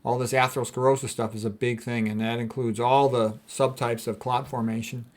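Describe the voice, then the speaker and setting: A man's voice: mid-range voice, flat delivery, fairly quick. A man speaking as a doctor giving an informal lecture, explaining things in a blunt, conversational way as he reads and comments.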